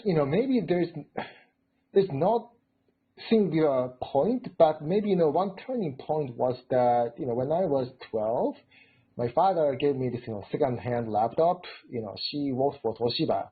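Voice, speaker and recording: A man in his forties from Japan with a Japanese accent, telling his story as a guest speaker, full of "you know" fillers and rising excitedly in pitch. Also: measured pace, slightly rough. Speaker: guest speaker, robotics researcher. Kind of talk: storytelling in a live lecture chat. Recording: tinny phone-like line.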